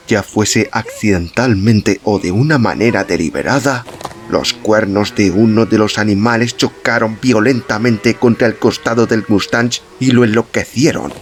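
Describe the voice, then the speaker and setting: A man's voice: fairly low pitch, vocal fry, background noise. A man narrating a literary story as an audiobook, reading from a script.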